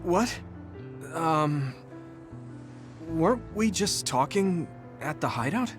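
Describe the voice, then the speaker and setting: Gentle, slow-spoken young hero. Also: male voice, fairly high pitch, big pitch swings, music in the background. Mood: puzzled. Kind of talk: video game cutscene voice acting.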